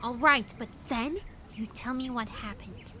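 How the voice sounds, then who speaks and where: A woman playing a sly, bossy female confidante in a fan audio drama, teasing with drawn-out, pointed questions. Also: fairly high pitch, bright, forceful tone, a little hiss or hum. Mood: scolding, impatient.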